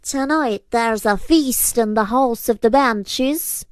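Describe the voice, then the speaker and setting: A woman's voice: a little high, takes it slowly, dry recording, pitched above the performer's own voice. A woman voicing a mischievous, bossy male shapeshifting fairy creature with a snarling manner in a storybook tale. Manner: snarling, commanding.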